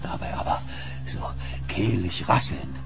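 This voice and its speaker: A man playing a fearful, hunted gentleman in a German horror radio play, speaking with dramatic urgency. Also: low voice, lively intonation, unhurried, vocal fry, in a whisper, music in the background, heavily acted. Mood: grim. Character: hunted, fearful English gentleman haunted by a demon.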